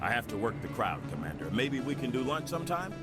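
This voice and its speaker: A man's voice: mid-range voice, sing-song, fast, loud music behind the voice, natural voice. An actor playing an earnest, pompous male nationalist politician in a video game, speaking in formal, rhetorical, courteous phrases. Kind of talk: video game dramatic voice acting.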